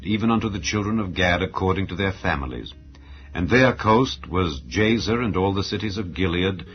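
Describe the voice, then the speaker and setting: A man narrating the Bible as a solemn scripted reading, with measured, formal, declamatory phrasing. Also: low-pitched. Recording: dull lo-fi audio under music. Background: background music.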